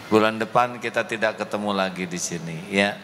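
A middle-aged man preaching in a large mosque into a handheld microphone, speaking in a deliberate, emotive way to the congregation. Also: lowish voice, fairly bright tone, slight background noise. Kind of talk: mosque sermon. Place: large mosque hall, indoors. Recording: echoey hall with faint ambience.

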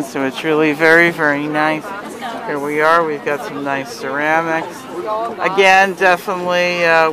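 A woman hosting a cheerful, chatty walking tour of an outdoor Christmas market, filmed on a handheld phone camera. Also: low-pitched. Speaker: travel agent and host. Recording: busy crowd noise around. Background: crowd chatter.

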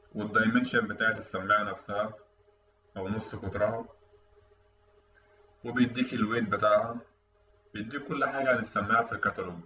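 A man with an Egyptian Arabic accent giving a technical lecture over a screen recording, explaining in a quick, conversational way. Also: lowish voice, sharp tone. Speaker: instructor. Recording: distant, dull, echoey room mic with faint noise.

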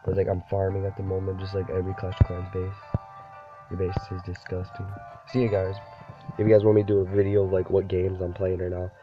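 A man's voice: sits low, completely flat delivery, quick pace. A young man with a cold, rambling casually over gameplay as a gaming YouTuber and saying "dude" a lot. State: sick, voice deeper than usual. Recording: dull lo-fi recording with game music behind. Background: game music.

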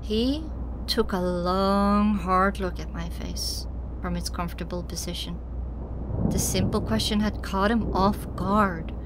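A woman's voice: mid-range voice, steady tone, takes it slowly. A woman narrating a scripted first-person story as an audiobook reader. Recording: clearly noisy background.

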